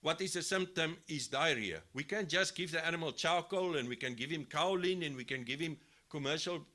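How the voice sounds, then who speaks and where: A South African man with a fairly high voice presents to a crowd of farmers at a live event. He explains livestock disease step by step, repeats points and restarts sentences. His voice is loud and carries well. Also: brisk. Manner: says he has a loud voice. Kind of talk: live expo talk to farmers. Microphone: handheld mic, later speaks without it.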